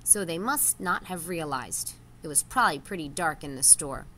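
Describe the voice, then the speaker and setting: A woman's voice: low-pitched, animated, normal speed, pushed and bright, a little hiss or hum. A woman voicing a practical male rat adviser in a children's storybook, reasoning in a matter-of-fact way.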